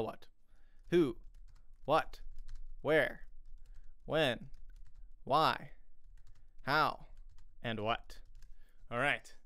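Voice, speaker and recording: A man's voice: on the high side, fairly bright tone, dry recording. A man in his thirties giving a casual, chatty acting lesson into a close studio microphone, repeating key questions for emphasis. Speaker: acting teacher and voice actor. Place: small home room, indoors. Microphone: close studio microphone with pop filter.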